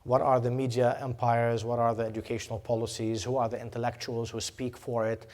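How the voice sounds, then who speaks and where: A man's voice: mid-range voice, flat delivery, fairly quick, darkish tone. A man from Jordan, a lecturer answering audience questions, talking with many fillers, repeated words and restarts. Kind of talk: academic Q&A answer. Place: lecture hall.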